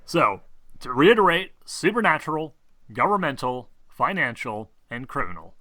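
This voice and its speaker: A man explaining game rules as game master in a casual, halting, rambling way, often clearing his throat. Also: mid-range voice, sing-song, sharp tone, no echo. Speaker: game master of a tabletop role-playing game.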